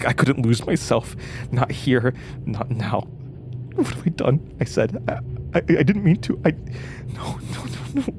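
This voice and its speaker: A man's voice: medium pitch, background noise, close to an everyday voice. A man playing a haunted narrator in a horror audio drama, stammering and repeating his words as he recounts his story. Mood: distraught, guilty. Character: haunted, panicky young male radio-show narrator recounting horrors.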